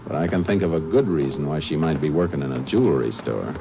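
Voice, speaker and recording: An actor playing a steady, shrewd Texas Ranger in a 1950s radio detective drama, terse and matter-of-fact. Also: male voice, extremely low pitch, fast, creaky, noisy background, full character voice. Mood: businesslike. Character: steady, shrewd Texas Ranger, male lawman narrating his case. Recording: muffled vintage radio recording with music.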